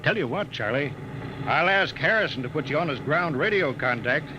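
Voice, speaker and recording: An actor playing a gruff spaceship captain. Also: male voice, a little high, expressive, normal speed, natural voice. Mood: stern. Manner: clipped, barking orders. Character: gruff male spaceship captain, commanding, short-tempered. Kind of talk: 1950s radio drama acting. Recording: dull, noisy old radio recording.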